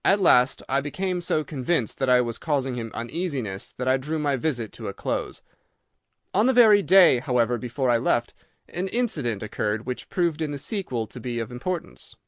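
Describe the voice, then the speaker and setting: A man's voice: medium pitch, dry recording. Male storyteller, mid-range voice, formal dramatic narration of detective fiction, closely dry recording.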